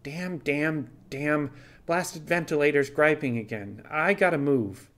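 A male narrator plays a bright, friendly furred crewman in a space audiobook. His voice is raised in pitch. He comes across eager and slightly flustered. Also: measured pace. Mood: exasperated.

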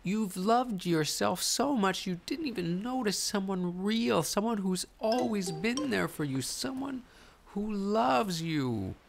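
A man voicing a cunning, brash, theatrical trickster raven with a creaky voice in an animated film. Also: high voice, takes it slowly, a little hiss or hum, heavily acted. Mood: insistent.

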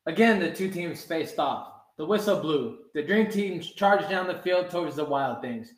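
A man's voice: on the high side, lively intonation, takes it slowly. A young man in his twenties reading a children's story aloud at a storytime. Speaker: soccer goalkeeper reading a children's book. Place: small room with blinds, indoors. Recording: roomy indoor webcam sound.

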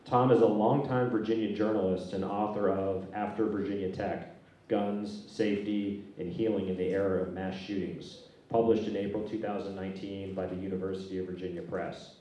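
Middle-aged man, moderator, flat and dark-toned, heard from afar in a very echoey hall. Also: low-pitched, normal speed, far from the mic, muffled recording. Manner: reads out speaker bios in a steady, even way. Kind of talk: reading a panel introduction. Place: stage in a large dark hall. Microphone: handheld microphone.

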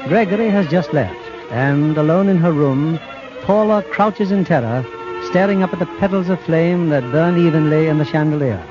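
Male narrator for vintage suspense radio. Higher-pitched, dramatic, violin music behind. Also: moderate pace. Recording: dull old broadcast with music. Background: prominent violin music.

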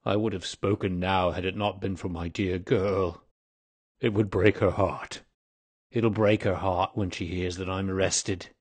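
A man's voice: low voice, little pitch movement, unhurried, breathy edge, dry recording. A man voicing a weary, grave old man confessing his past in an audiobook story. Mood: pleading, sorrowful. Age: older. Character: weary, dying old man, confessed former highway robber.

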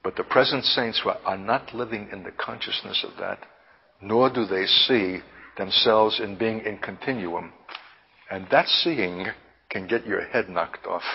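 Husky, low-voiced man preaching. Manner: deliberate, emphatic, halting with repeats, lofty vocabulary. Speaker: preacher, Bible teacher. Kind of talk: impassioned prophetic sermon, teaching. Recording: dull, lo-fi sermon recording.

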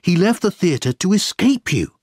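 A man voicing an earnest, determined gentleman pressing for answers in audiobook dialogue. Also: high voice, very animated, normal speed, breathy edge, no room sound, studio quality, pitched above the performer's own voice, full character voice. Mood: urgent. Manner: urgent, earnest.

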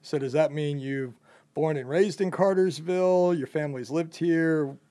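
A man's voice: a little high, normal speed, mellow. A young man who owns a company, casually interviewing a job applicant in a loose, rambling way with lots of fillers.

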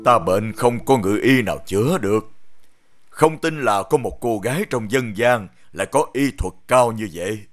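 A man playing a dignified older king in an animated fairy tale, with stately, formal speech. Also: on the low side, normal speed, soft background music, natural voice. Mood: grateful, surprised.

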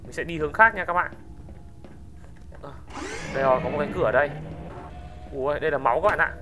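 An excitable gaming video host giving exclamation-filled gameplay commentary. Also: medium pitch, fast. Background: music.

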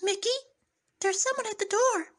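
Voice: extremely high pitch, normal speed, noisy background, voice pushed up. A performer voicing a sweet, motherly female cartoon mouse queen in a dainty, affectionate way. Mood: caring.

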